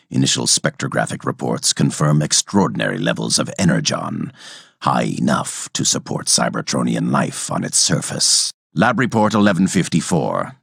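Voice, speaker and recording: An actor playing a cold, calculating robot villain scientist in a video game, speaking in a measured, clinical and menacing way with formal diction. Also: male voice, very deep voice, gravelly, slightly rough, no room sound, clean studio sound, full character voice. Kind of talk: scripted video game character monologue.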